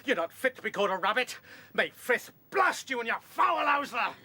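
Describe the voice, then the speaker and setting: An actor playing a brave, defiant rabbit fighter in a radio play, speaking bluntly and emphatically. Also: male voice, very high-pitched, sing-song, a little fast, slightly rough, full character voice. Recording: loud background noise in radio drama.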